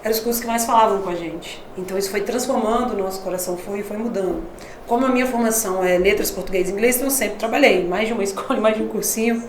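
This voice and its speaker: A woman's voice: mid-range voice, very fast, slight background noise. A woman from Brasília, a missionary guest, telling stories in casual conversation with frequent laughs. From Brasília, Brazil. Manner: lively storytelling, laughs often. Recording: echoey room.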